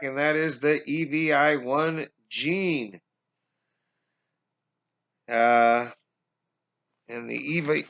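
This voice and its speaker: Man with a fading, gravelly voice. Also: mid-range voice, pushed and bright. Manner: halting, many fillers and restarts, addresses viewers as guys. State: losing his voice, coughing. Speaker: conspiracy vlogger. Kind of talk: casual explanatory monologue. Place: small indoor room at home. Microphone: webcam. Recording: dull lo-fi webcam audio.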